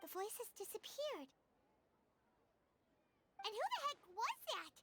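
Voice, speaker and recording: A woman voicing a small, excitable childlike fairy companion in a video game, squeaky, chatty and exclamatory. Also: slow, slight background noise, full character voice. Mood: startled, indignant. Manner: speaks of self in third person, exclamatory. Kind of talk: video game character acting.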